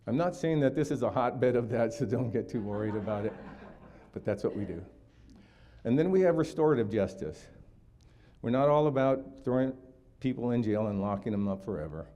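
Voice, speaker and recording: A man's voice: mid-range voice, lively intonation, a little fast, dark tone, dull audio. A man in his sixties from Hawaii, giving a community talk for a district attorney's office, explaining steadily with frequent fillers.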